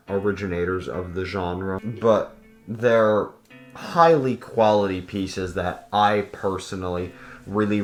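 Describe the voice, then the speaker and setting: Deep-voiced man in an echoey room. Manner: informal, emphatic, repeats intensifiers, swears casually. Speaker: host of a video guide. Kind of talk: casual opinionated video essay commentary.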